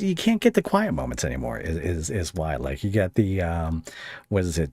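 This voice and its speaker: A man with a low voice hosts a casual interview podcast. He phrases things haltingly, working out each thought as he speaks. The sound is dry, with very little room in it. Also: a little mellow, no room sound. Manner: halting, thinking aloud while phrasing questions. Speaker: podcast host interviewing a guest.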